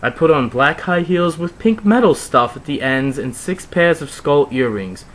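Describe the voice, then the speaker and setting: Animated man reading a story, bright voice. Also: a little high, normal speed. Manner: wry, theatrical, with mocking asides. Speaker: narrator reading fan fiction aloud. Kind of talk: dramatic comedic reading of a story.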